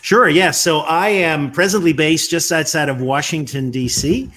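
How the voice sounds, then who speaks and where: A man's voice: on the high side, bright, forceful tone. Man in his fifties. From Northern Ireland. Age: 50s. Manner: measured, frequent "uh" pauses. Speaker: podcast guest. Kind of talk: storytelling interview.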